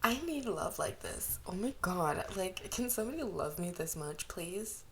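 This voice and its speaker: A woman with a slightly low voice narrates fan fiction and chats in an informal, slangy, gushing way. It is an amateur home recording with faint background noise. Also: expressive, unhurried, creaky. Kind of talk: fan fiction reading and casual chat to listeners.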